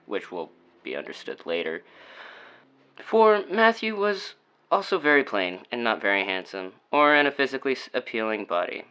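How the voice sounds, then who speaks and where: A man's voice: lowish voice, pushed and bright, clear, resonant tone. A man playing a brooding, sardonic storyteller in an audio drama, narrating in a wordy, formal, theatrical way. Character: brooding, sardonic male storyteller of a dark tale.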